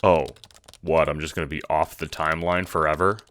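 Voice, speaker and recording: A man's voice: extremely low pitch, flat delivery, brisk, fairly bright tone, clean tone. A man playing a casual, foul-mouthed young time-traveling guy in an audio drama, talking in hesitant slang. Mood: alarmed, confused.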